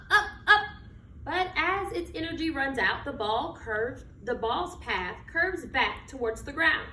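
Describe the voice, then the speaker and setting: A woman's voice: high-pitched, sharp tone. A woman reading a children's picture book aloud with emphatic, dramatic phrasing and questions put to her listeners. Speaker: read-aloud storyteller. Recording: roomy echo, distant mic, background noise.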